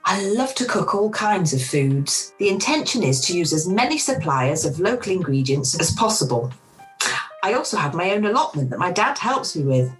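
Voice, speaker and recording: A woman playing a warm but self-doubting middle-aged restaurant owner in an amateur radio play, recorded over a video call. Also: on the low side, big pitch swings, normal speed, soft background music, poor audio. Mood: fond, enthusiastic.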